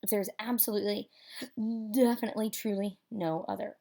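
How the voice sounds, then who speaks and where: A woman with a warm, rounded voice of average pitch reads a picture book for children. Her delivery is expressive and theatrical. She leans on key words and leaves dramatic pauses. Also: gravelly.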